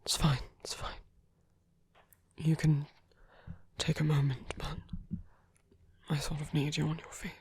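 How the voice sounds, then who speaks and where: Guy doing a soft villain rescuer for asmr audio drama, gravelly breathy murmur, reassuring n a bit teasing. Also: fairly high pitch, flat delivery, unhurried, pauses often, no room sound, heavily acted. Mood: gentle, urgent concern. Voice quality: breathy, husky, half-whispered. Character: male villain, protective, gently teasing, rescuing a wounded rival.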